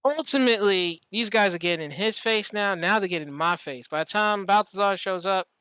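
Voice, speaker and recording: Podcast host, mid-pitched and crisp, slangy and sweary storyteller, animated, performs quoted dialogue, dry close sound. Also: a little fast, no room sound. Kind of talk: casual storytelling in conversation.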